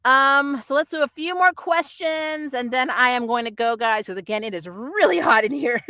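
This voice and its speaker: A woman's voice: high-pitched, expressive, moderate pace, pushed and bright. Middle aged lady doing a casual nerdy review stream, rambly and opinionated, really dry sound no echo. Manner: rambling, digressive, opinionated, often laughing. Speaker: host of a nerd culture review channel. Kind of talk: casual livestream chat, answering viewer comments and reviewing TV.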